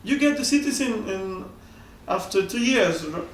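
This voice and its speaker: A Peruvian-accented man whose first language is Spanish, chatting in halting English with frequent restarts and laughs. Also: sits high, animated, far from the mic, a little hiss or hum. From Peru, Amazon region. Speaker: flatmate renting out a room. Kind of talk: casual chat in broken English. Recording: echoey room, voice off-mic, faint ambience.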